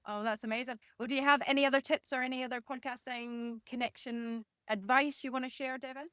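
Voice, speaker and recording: A woman's voice: sits high, fairly even intonation, fairly quick, a bit bright, smooth, no room sound, studio quality. A woman hosting a casual podcast interview in an encouraging, affirming manner. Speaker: podcast host.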